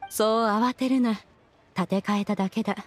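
A woman's voice: a little high, fairly even intonation, normal speed, soft background music, natural voice. An actress playing a composed, commanding female master in an anime game, with stately, old-fashioned phrasing. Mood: composed, authoritative.

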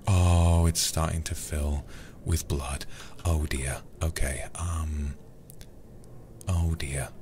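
A man streaming a horror game in ASMR style, speaking in a hushed voice and swearing freely. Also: rumbling low, fairly even intonation, slow pace, background noise. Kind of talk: ASMR game livestream commentary.

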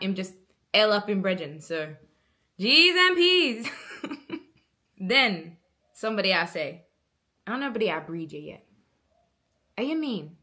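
An Australian woman putting on Jamaican Patois, speaking quickly and playfully, a little self-conscious. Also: on the high side, pushed and bright. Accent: Australian attempting Jamaican Patois. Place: bright living room indoors.

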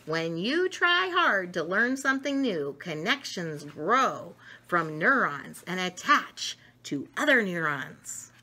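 Middle-aged female storyteller for kids' educational picture book, bright tone, highly varied intonation, emphatic delivery. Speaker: storyteller reading picture books. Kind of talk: read-aloud of a children's educational book. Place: home dining room, indoors.

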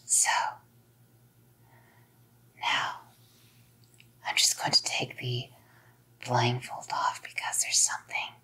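A young woman playing an obsessive, menacingly sweet psycho girlfriend in a whispered ASMR roleplay, cooing and softly threatening. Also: very deep voice, gravelly, husky, exaggerated voice. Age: young adult. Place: small dim room indoors. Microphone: close ASMR mic.

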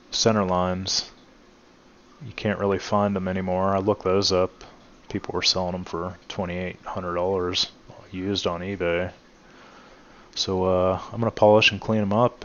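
Deep flat-voiced man in a garage. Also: muffled recording. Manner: relaxed, many "uh" fillers, addresses viewers as guys. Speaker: channel host showing his truck restoration. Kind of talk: casual walkaround narration. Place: home garage.

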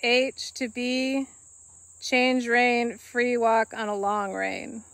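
Woman reading out a dressage test, slow and choppy, kinda high, dry sound. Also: level tone, creaky, a bit bright, dry recording. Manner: slow, clipped commands with pauses. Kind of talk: calling out test movements.

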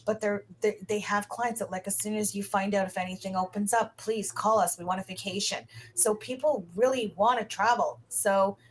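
A woman's voice: medium pitch, steady tone, normal speed, hardly any pauses, slight background noise, rough recording. A woman co-hosting a stock market review, chatting and relaying stories in a casual way. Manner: chatty, storytelling, hands off to co-host.

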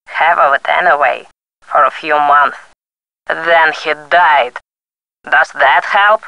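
A crotchety woman of ninety-six from a video game. Her voice is low and forceful, recorded dry and close. She is curt and sardonic, with no patience to spare. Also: slow pace, gravelly, no room sound, heavily acted, rough recording. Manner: curt, dry, morbid wit.

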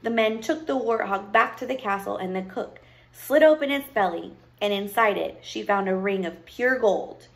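A woman voicing a stern, knowing old grandmother who tells a fairy tale in a deliberate, probing way. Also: medium pitch, expressive, vocal fry. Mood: measured storytelling. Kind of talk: storybook character voice.

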